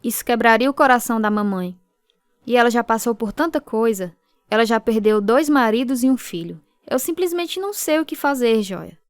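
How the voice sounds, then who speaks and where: A woman's voice: fairly high pitch, animated, moderate pace, no room sound, lightly acted. A woman playing a troubled, earnest young woman who narrates her story in a radio drama. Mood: hesitant, torn.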